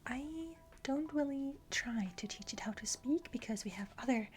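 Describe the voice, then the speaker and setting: A woman's voice: a little high, normal speed, lightly acted. A woman playing a self-important young criminal henchwoman in an ASMR roleplay, whispering breathily and hesitantly close to the microphone. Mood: confiding, dismissive. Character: boastful, eager young female criminal henchwoman.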